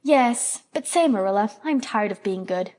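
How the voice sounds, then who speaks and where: A woman voicing an excitable young farm boy who is eager and boastful. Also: mid-range voice, normal speed, natural voice.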